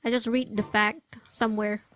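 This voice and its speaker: A woman's voice: on the high side, level tone, normal speed, a bit bright, no room sound. A woman giving casual gameplay commentary, muttering to herself and repeating phrases as she plays. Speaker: gaming streamer. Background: game music.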